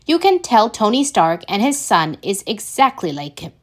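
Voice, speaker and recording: A woman's voice: mid-range voice, sing-song, moderate pace. A woman reading the part of an angry, contemptuous male military colonel in a story. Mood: angry scorn. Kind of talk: amateur audiobook character reading.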